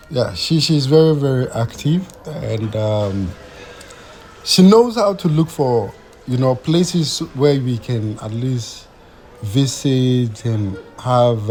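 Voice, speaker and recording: A man's voice: mid-range voice, a little mellow, slight background noise. A man from Ghana chatting casually to his vlog audience outdoors, hesitant, with many fillers and restarts. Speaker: vlogger.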